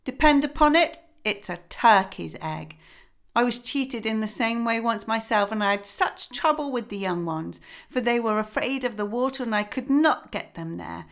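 A woman's voice: fairly high pitch, animated, normal speed, a bit bright. Woman doing a bossy old know-it-all duck.

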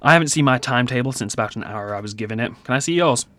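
A man's voice: mid-range voice, lively intonation, rapid-fire, a bit bright, no echo, natural voice. A man playing a talkative, easygoing, joking secondary-school pupil in a light comedy audio drama, rambling playfully. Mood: chatty.

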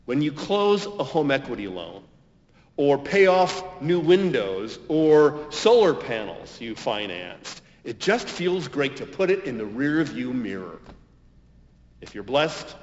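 An American man preaching a homily as a pastor, in measured, deliberate phrases with emphatic stresses and pauses. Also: fairly high pitch, a little hiss or hum. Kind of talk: sermon, homily. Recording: dull, reverberant hall recording.

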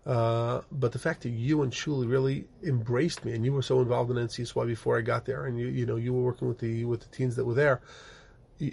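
Gravelly-voiced middle-aged rabbi telling his story. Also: mid-range voice, darkish tone. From born in the United States, raised in Israel. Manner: rambling, frequent fillers and restarts. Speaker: guest, rabbi and organization director. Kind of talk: conversational interview storytelling. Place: office.

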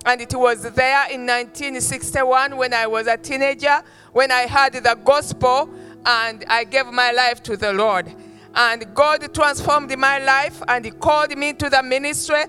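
A woman, a missionary guest speaker giving a slow, warm and earnest testimony in church. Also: sits high, fairly even intonation, soft background music. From Uganda. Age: 70s. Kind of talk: sermon greeting. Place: church stage.

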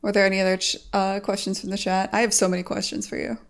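Frank woman host with a gravelly voice. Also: medium pitch, fast talker. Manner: frank, animated, swears casually. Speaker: podcast host, game developer. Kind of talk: casual livestream podcast chat.

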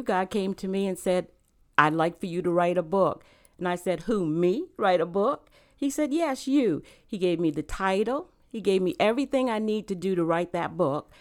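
A woman's voice: mid-range voice, a little mellow. A woman who grew up in Rhode Island, speaking earnestly about her faith as a guest and author in a casual interview. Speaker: guest, church member and author.

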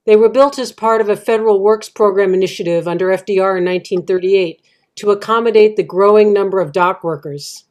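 A woman's voice: medium pitch, a little fast, a little mellow, natural voice. Woman reading matter-of-fact local resident, informative, medium voice. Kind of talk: readers theatre monologue.

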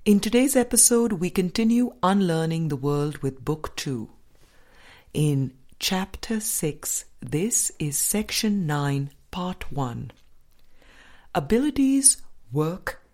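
A woman reading a spiritual book as scripted narration, in a measured, deliberate manner with frequent pauses. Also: low voice, dark tone. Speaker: audiobook reader.

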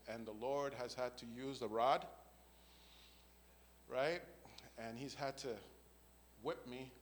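New guy in his 50s giving his testimony, earnest, keeps saying right? okay?, mid voice, echoey reverb. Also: medium pitch. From the Bronx, New York. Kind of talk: spoken faith testimony.

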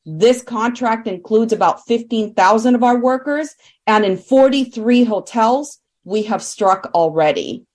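A woman speaking as a union representative at a press briefing, firm and deliberate as she lays out points. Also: medium pitch, darkish tone. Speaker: hotel workers' union representative. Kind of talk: spoken statement at a press briefing.

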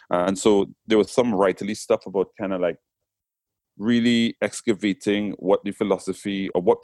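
Low-voiced Trinidadian man, dry sound. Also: darkish tone, no room sound. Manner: thoughtful, circling back on phrases, frequent "you know what I'm saying". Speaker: poet, interview guest. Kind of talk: reflective conversational interview.

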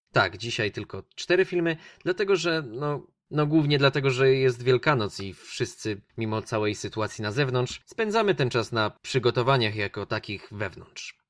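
A man's voice: mid-range voice, fairly bright tone, no room sound, studio quality. A man hosting a radio film show in a casual, rambling monologue, speaking directly to his listeners.

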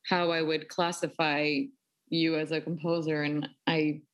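A woman with a notably low speaking voice, though she is a soprano singer, talks in a flowing, articulate way. She shares her thoughts in a relaxed interview conversation. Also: measured pace.

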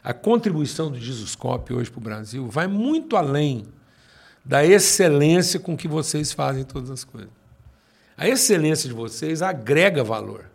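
A man in his sixties with a Brazilian accent, a pastor telling his own story in conversation and slowing down to stress his points. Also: on the high side, animated, vocal fry, husky. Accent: Brazilian Portuguese. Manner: emphatic, slows down to stress points.

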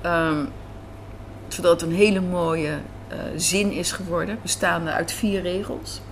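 A woman with a fairly low voice answers as an interviewed writer. She talks informally, thinking aloud and repeating herself for emphasis, with faint café noise behind her. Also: steady tone, a little slow. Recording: faint background room noise. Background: faint café ambience.

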